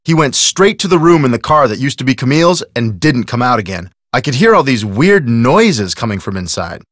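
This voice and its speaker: A man's voice: mid-range voice, very animated, brisk, a bit bright, dry recording, studio quality. An actor playing a level-headed teenage boy detective in a video game, reasonable and skeptical, with an American accent. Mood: matter-of-fact, chiding. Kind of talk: video game character acting.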